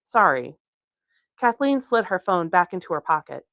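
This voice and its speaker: A woman reads fiction aloud in an expressive storytelling style. Her voice sits on the low side, and the sound is close and dry, with little room in it. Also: a little fast, no echo, highs cut off. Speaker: author reading from a novel. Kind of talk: expressive audiobook-style reading. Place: bookstore reading.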